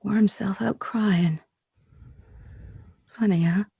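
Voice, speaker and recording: A woman playing a jaded, frightened film star from the slums in a 1940s-style radio drama, breathy, halting and slangy, brooding with bitter wistfulness. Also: mid-range voice, steady tone, mellow, dry recording, clean studio sound, full character voice. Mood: weary, wistful. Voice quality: husky, breathy.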